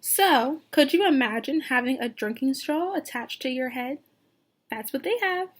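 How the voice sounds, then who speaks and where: A woman teacher reading a picture book aloud to young children, expressive and sing-song, pausing to put questions to them. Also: high voice, a little hiss or hum. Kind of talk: read-aloud lesson with questions to young listeners.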